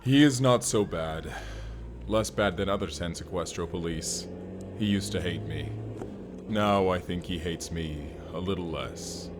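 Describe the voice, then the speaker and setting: A man plays a gruff wasteland barbarian working as a hired fighter, with a very low voice. He speaks earnestly and literally in stiff, simple sentences, as in a cinematic audio roleplay. There is noticeable background noise. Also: measured pace. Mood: calm, friendly. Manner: stilted, literal, plain short sentences without contractions. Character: gruff, earnest wasteland barbarian turned corporate combat operative. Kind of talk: immersive first-person audio roleplay acting.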